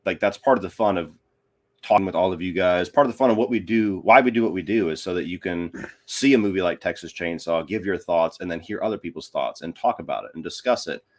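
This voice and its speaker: Deep voiced guy, laid out movie opinions, casual podcast guest. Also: fast. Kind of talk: casual film discussion.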